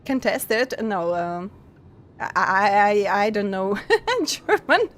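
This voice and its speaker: A young woman streaming a game and chatting casually with her viewers, giggling often and pausing to restart her sentences. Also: mid-range voice, very animated. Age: young adult. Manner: giggly, halting with many pauses and restarts.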